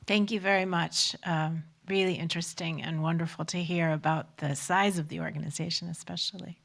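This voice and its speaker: A woman's voice: fairly low pitch, fairly even intonation, brisk, creaky, fairly bright tone. A woman moderating a conference panel, giving formal, brief handovers to speakers.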